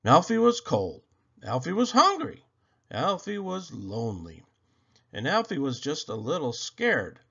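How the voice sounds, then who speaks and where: Guy reading a kids book out loud, slow and super lively, kinda dull lo-fi sound. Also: on the high side, vocal fry, husky. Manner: slow, expressive storytelling. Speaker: children's book reader. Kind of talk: reading a picture book aloud.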